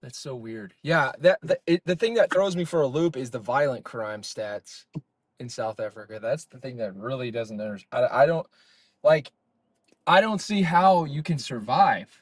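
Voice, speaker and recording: A man's voice: mid-range voice, animated, normal speed, husky, dry recording. A man from the United States hosting a casual chat, blunt and loose, often repeating himself. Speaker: host.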